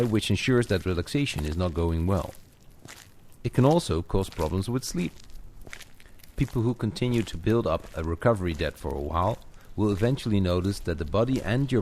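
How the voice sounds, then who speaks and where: A man's voice: sits low, darkish tone. A man coaching the listener in an informal, conversational audio-walk narration, addressing them directly. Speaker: coach guiding a walking audio lesson. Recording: outdoor ambience noise with clicks. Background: added outdoor walking ambience, birds.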